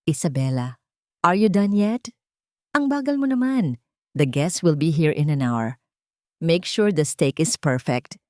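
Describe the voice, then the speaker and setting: A woman's voice: low voice, lively intonation, unhurried, long pauses, mellow, no echo, clean studio sound. A woman with a Filipino accent voicing an arrogant, impatient rich husband in an audio drama, condescending and demanding. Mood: haughty, impatient.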